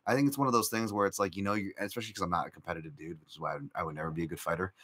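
A man's voice: low-pitched, fairly even intonation, very fast, pushed and bright, breathy edge. A man hosting a casual live-stream podcast, rambling through betting picks with lots of fillers and restarts while chatting with viewers. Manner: chatty, reacts to viewer comments. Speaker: podcast host.

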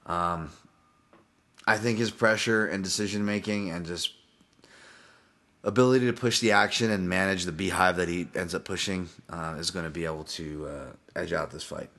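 A man's voice: low voice, steady tone, moderate pace, pushed and bright. A man with a Hawaiian accent hosting a casual solo podcast, talking in a rambling way with many restarts and casual swearing. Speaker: podcast host and fight analyst.

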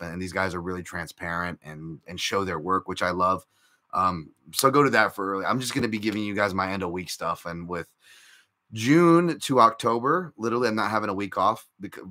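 Bright-voiced rambling male podcast host. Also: low-pitched. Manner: rambling, filler-heavy, restarts often; chatty, reacts to viewer comments. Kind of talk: casual live-stream betting breakdown.

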